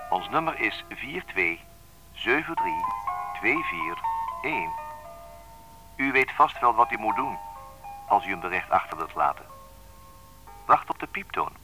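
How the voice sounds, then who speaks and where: An actor in a radio play playing a playful, mysterious man speaking deliberately on his answering machine, with music behind him. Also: lowish voice, sing-song, low-quality recording. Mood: formal, playful. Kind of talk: radio drama acting as recorded phone message.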